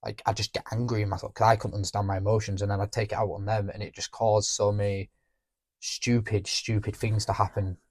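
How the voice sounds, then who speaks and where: A young man talking candidly on a podcast, reflective and halting, often restarting his sentences. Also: on the low side, little pitch movement, a little mellow, breathy edge, no echo. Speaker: podcast co-host. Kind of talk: candid emotional conversation.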